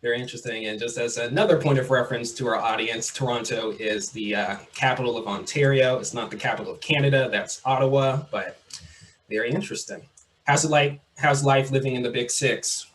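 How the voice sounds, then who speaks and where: Young man, podcast host, relaxed chatty delivery, faint background hiss. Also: mid-range voice, brisk. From Mississippi. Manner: relaxed, chatty, repeats phrases. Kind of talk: casual interview.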